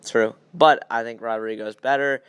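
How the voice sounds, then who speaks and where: A man's voice: mid-range voice, steady tone, normal speed, fairly bright tone, clear, resonant tone, no room sound. A young man hosting a podcast, chatting casually and giving blunt opinions on sports. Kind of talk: casual sports chat, game predictions.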